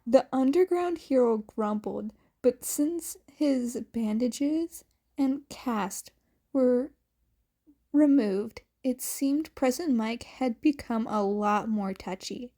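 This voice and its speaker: A woman's voice: fairly high pitch, slow pace, warm tone. A woman reading a story aloud as scripted, audiobook-style narration. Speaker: audiobook-style fan fiction reader.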